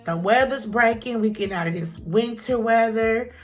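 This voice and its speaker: A woman's voice: a little high, normal speed, soft background music. Chatty encouraging woman vlogger, stuffy nose. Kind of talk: casual talk to camera.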